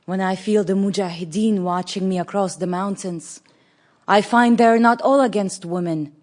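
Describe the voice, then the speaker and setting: Actress doing afghan woman health worker, brave and determined, mid pitch, radio drama monologue. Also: steady tone, moderate pace, a little mellow, natural voice. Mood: resolute, wary. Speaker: actress in an audio play.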